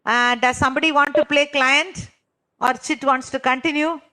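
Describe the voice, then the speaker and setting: High-voiced woman teaching, instructive. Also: fairly even intonation, normal speed, bright, forceful tone, low-quality recording. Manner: instructive, repeats herself and checks understanding. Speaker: trainer leading a clinical interviewing course. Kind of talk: interactive online lesson, explaining and quizzing participants.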